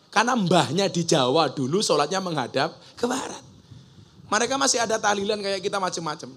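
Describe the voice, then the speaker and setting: A man's voice: high-pitched, sharp tone. A man preaching a humorous sermon to a gathering, joking and mimicking regional dialects. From Java. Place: outdoor religious school gathering.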